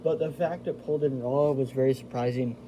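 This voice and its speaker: A man's voice: medium pitch, fairly even intonation, fast, mellow, slight background noise, poor audio. A man giving a casual, hands-on product review in an informal, chatty way, speaking directly to his viewers. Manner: informal, chatty, addresses viewers as "you guys". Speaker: video host reviewing a radio. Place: indoors in a house.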